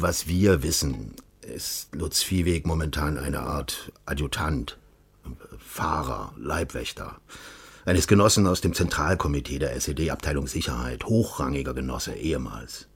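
An actor playing a secretive former secret police official in a radio crime drama, formal and measured, persuasive with quiet menace. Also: male voice, rumbling low, heavily acted. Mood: measured, probing. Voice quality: husky.

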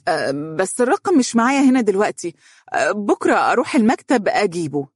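A woman's voice: medium pitch, sing-song, quick pace, breathy edge, no room sound, studio quality, pitched above the performer's own voice. A woman voicing a nervous, scheming young woman with an Egyptian accent, hesitant and evasive. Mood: nervous evasion. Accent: Egyptian Arabic. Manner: hesitant, stammering.

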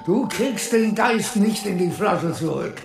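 A scornful old father in a radio play, belittling and gravelly. His high voice rings with echo, and music runs behind it. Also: lively intonation, unhurried, exaggerated voice. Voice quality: creaky. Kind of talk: radio drama dialogue. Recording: echoey space, music behind.

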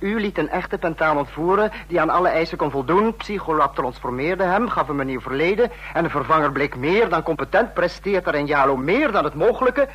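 An actor playing a sarcastic, domineering dictator in a radio drama, husky-voiced and biting as he scolds his subordinates. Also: fairly high pitch, lively intonation, fairly quick, hardly any pauses, fairly bright tone, lo-fi sound. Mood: icy sarcasm.

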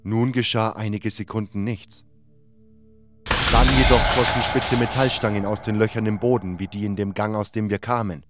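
A man's voice: lowish voice, steady tone, normal speed, background noise. A man reading scripted first-person narration for a fantasy audio drama. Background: background music.

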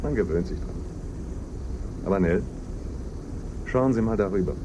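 A seasoned male expedition leader played by an actor, speaking low over clear vehicle noise. He is dry, wry and rule-minded, handing out casual orders in radio-drama style. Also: animated, normal speed, close to an everyday voice. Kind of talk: German radio drama acting.

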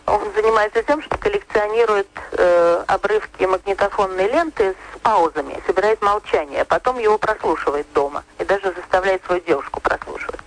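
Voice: mid-range voice, low-quality recording. A person reflecting casually and thoughtfully, searching for words while speaking.

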